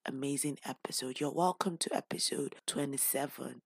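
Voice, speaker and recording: A woman hosting her own podcast with a hoarse, worn voice, talking casually and openly with playful asides. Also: a bass voice, normal speed, dry recording. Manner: chatty and open, with playful asides. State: lost voice, hoarse. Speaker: podcast host; teacher. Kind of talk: casual personal podcast talk.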